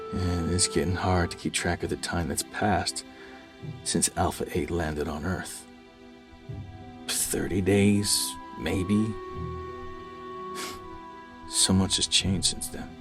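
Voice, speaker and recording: A man acting an obsessed researcher held captive, agitated and musing aloud to himself in an audio drama monologue. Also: low-pitched. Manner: agitated, musing aloud, swings from murmurs to shouts.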